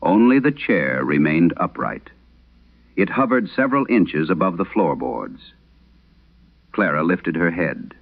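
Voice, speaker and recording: A man playing an aging, haunted priest, telling a solemn horror radio monologue with measured, brooding, confessional delivery. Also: low-pitched, animated, phone-quality sound, heavily acted. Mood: grave, haunted.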